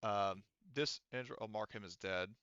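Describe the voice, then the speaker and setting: A man's voice: fairly low pitch, moderate pace, a bit bright, clear, resonant tone, no echo, muffled recording. A man acting as game master in a tabletop game, casually narrating and explaining rules while thinking aloud.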